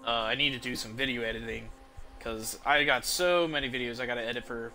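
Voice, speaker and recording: A man's voice: medium pitch, fairly even intonation, brisk, bright, forceful tone, poor audio. A young man giving casual, informal gameplay commentary as a streamer, thinking aloud. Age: young adult. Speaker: game streamer. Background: game music.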